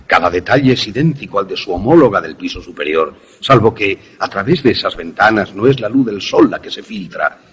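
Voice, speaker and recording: A man acts out an eccentric, proud scientist from a suspense radio play. His voice is lowish and very rough, with animated swings in pitch. He speaks formally and deliberately, like a courteous host explaining his creation. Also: full character voice.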